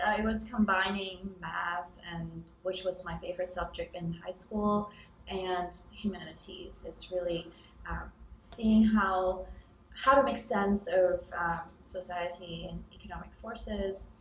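A woman's voice: lowish voice, a little slow. A young woman from Hong Kong, a startup founder, answering interview questions in a casual, thoughtful way with frequent ums. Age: young adult. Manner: thoughtful, many ums and restarts, occasional laughs. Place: office room by a large window with city towers outside. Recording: roomy echo, somewhat distant mic.

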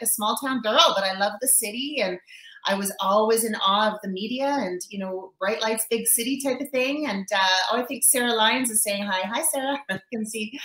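A woman speaks as a guest on a video call. Her voice is bright and friendly. The roomy home office around her comes through on the webcam mic. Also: mid-range voice. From Canada. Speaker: guest journalist. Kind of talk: casual interview.

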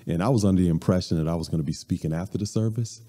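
A man in his forties giving a conversational, measured talk at a church service, with frequent ums, recorded from a stand mic in a large hall. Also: low voice, warm tone. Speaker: guest speaker. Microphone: stand mic at a few steps, far camera. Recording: long hall reverb.

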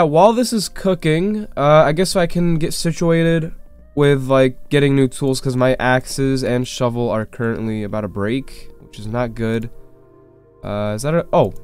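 A sick, slightly congested man giving excitable, rambling gameplay commentary with quick, frustrated outbursts. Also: medium pitch, clear, resonant tone. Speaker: gaming YouTuber. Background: faint game music.

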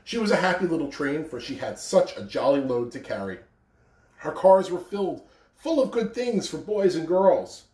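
American man, thirties, school announcer and storybook reader. Mellow, lively intonation, recorded in a roomy home from a distance. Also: a little high, normal speed. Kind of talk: morning announcements and storybook read-aloud to children. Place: carpeted living room at home.

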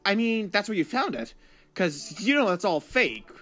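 Girl doing a jittery teen superhero boy for a fandub, stumbles over words, defensive, really animated pitch. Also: normal speed. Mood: flustered, evasive. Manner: hesitant, stammering. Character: nervous, earnest teenage boy superhero, stammering and defensive. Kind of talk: amateur fan dub of a film scene.

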